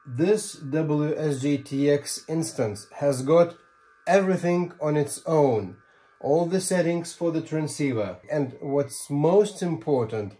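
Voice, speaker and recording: Male tutorial presenter, sixties. Slow, deliberate delivery with emphatic phrasing and a relaxed, mellow timbre. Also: on the high side, slightly rough. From Lithuania. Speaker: ham radio tutorial presenter. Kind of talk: step-by-step tutorial explanation. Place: small home radio room, indoors.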